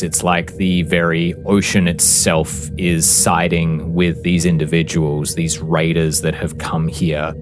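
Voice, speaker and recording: A man working as a game master, narrating a tabletop fantasy game in a measured, deliberate, descriptive storytelling manner. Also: sits low. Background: background music.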